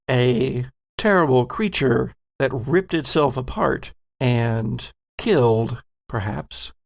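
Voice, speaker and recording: A man narrating a tabletop horror game as game master, slow and measured. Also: fairly high pitch, creaky, no room sound, clean studio sound.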